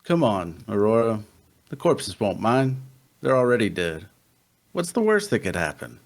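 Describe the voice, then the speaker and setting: A man's voice: fairly low pitch, very animated, a little slow, creaky, full character voice. A man playing a big, easygoing warrior who is casual and blunt, in a fantasy drama table read.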